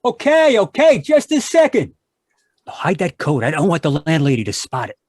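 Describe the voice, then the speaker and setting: An actor playing a hot-tempered American small-time crook in an old-time radio crime drama, talking in gruff, slangy tough-guy style. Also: fairly high pitch, wildly swooping pitch, moderate pace, dry recording, clean studio sound. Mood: urgent, scheming. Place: performed from home on a video call.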